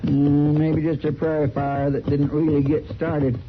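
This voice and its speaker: A man's voice: fairly high pitch, flat delivery. A man playing a simple, loyal frontier helper in a radio western, with a folksy, deferential way of talking. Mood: curious, searching. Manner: folksy, deferential, drawn-out musing. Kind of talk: old-time radio western acting. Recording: dull, faintly noisy old radio recording.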